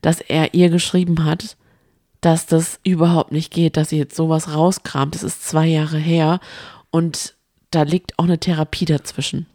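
A woman's voice: sits low, little pitch movement, measured pace, warm tone, dry recording, studio quality. A woman co-hosting a casual, gossipy chat about reality TV, telling stories with strong opinions. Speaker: podcast co-host.

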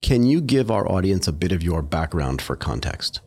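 A man's voice: deep voice, sing-song, moderate pace, a little mellow, breathy edge. A man hosting a podcast, chatty and enthusiastic as he interviews a guest. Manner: enthusiastic, chatty, laughs easily. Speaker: podcast host. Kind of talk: casual interview chat.

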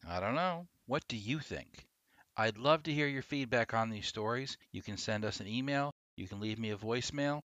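A man's voice: medium pitch, moderate pace, a bit bright, no echo, lo-fi sound. A man hosting a podcast, speaking in a casual, conversational, self-deprecating way to his listeners. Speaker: podcast host. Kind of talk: casual scripted podcast outro.

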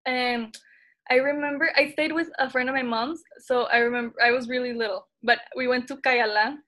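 A woman's voice: high voice, fairly even intonation, fairly quick, pushed and bright. A teenage girl from El Salvador hosting a casual podcast chat, chatty and upbeat with many fillers. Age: teens. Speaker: podcast host.

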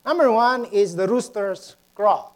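Female preacher, Filipino accent, unhurried and halting delivery, mid-range pitch, sermon and prayer. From Philippines. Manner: halting, repeats words.

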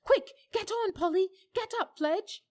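A woman reading a storybook aloud and voicing a frightened but stubborn young boy, who speaks high, loud and urgent. Her voice is husky. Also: fairly quick, lightly acted.

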